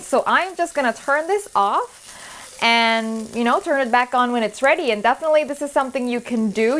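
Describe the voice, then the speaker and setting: A woman's voice: a little high, a bit bright. A woman hosting a cooking video, chatting casually and encouragingly as she cooks at the stove in a kitchen. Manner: chatty, encouraging, thinking aloud while cooking. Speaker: cooking show host. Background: food sizzling in a pan.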